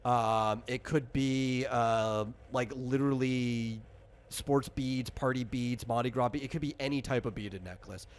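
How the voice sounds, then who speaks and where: A man's voice: mid-range voice, steady tone, a little slow, clear, resonant tone. A man acting as game master, talking casually and explaining options in a hesitant way during a tabletop character-creation session.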